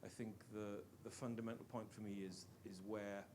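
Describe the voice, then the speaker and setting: A Welsh man with a somewhat low voice speaks from the audience in an earnest, halting way, restarting his phrases often. He is a longtime teacher raising a point at a panel talk, and a faint hum sits under the recording. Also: little pitch movement, darkish tone. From Wales. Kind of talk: question from the floor at a panel talk.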